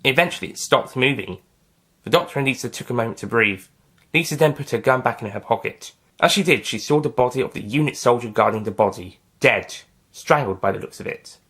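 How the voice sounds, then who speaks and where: A man's voice: medium pitch, brisk, a bit bright, breathy edge. A man reading a scripted science-fiction adventure story as its author and narrator.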